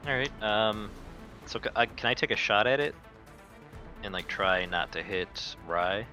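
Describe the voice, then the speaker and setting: A man's voice: on the low side, lively intonation, a little slow, bright, forceful tone, highs cut off. A man playing a tabletop game, chatting in an informal, tentative way with music playing behind him. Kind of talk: casual game-table chat. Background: background music.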